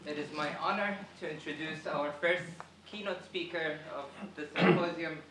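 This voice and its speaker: A man acting as session moderator, giving a polite, formal introduction of the keynote speaker with brief pauses. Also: a little high. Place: large lecture hall. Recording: echoey hall, distant mic, background noise.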